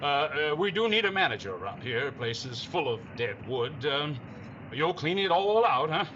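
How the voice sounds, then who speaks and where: A man's voice: a little high, very animated, moderate pace. A man playing an easygoing, absent-minded newspaper owner who hems and repeats himself, in a 1950s radio drama. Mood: distracted, agreeable. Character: dithering, golf-mad male newspaper owner, easygoing. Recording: dull band-limited sound, noisy background.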